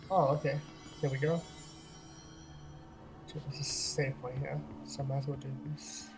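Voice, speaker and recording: A let's-play host giving casual gameplay commentary in a relaxed, rambling way with frequent laughs. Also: medium pitch, normal speed, over music.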